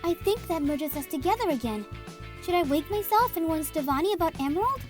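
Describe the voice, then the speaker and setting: A woman's voice: very high-pitched, animated, brisk, soft background music. A woman voicing a polite, dreamy, matter-of-fact alien crew member in a comic dub. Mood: calm, earnest.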